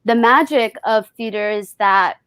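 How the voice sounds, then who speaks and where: A woman with a fairly high voice talks as a panelist in a reflective conversation. She is thoughtful and feels her way toward each phrase. The sound is dry and close. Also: measured pace, a bit bright, clear, resonant tone, dry recording. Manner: thoughtful, searching for words. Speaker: actor on a festival panel.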